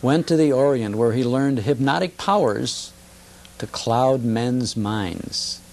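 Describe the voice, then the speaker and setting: A man's voice: medium pitch, expressive, slow pace, darkish tone. A man talking conversationally as a guest expert on radio history, enthusiastic and emphatic, repeating phrases for effect.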